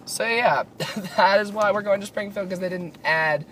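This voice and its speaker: A sick man with a raspy, slightly high voice talks casually from behind the wheel, rambling and filling pauses. Steady car road noise runs clearly underneath him. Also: poor audio. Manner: rambling, lots of fillers. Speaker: podcast host. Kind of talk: casual chat while driving. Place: inside a moving car.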